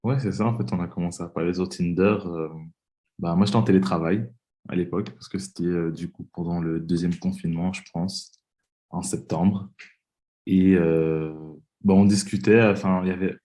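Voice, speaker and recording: A man's voice: sits low, steady tone, moderate pace, a little mellow. A man in his twenties from Belgium, a podcast guest talking casually and hesitantly with many fillers. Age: 20s. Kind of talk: casual interview talk.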